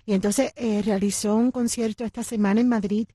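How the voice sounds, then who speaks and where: A woman's voice: medium pitch, steady tone, fairly quick, warm tone, breathy edge, dry recording, studio quality. A woman with a Cuban Spanish accent co-hosting a radio show, telling stories in casual banter and laughing often. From United States. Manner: chatty storyteller, laughs often.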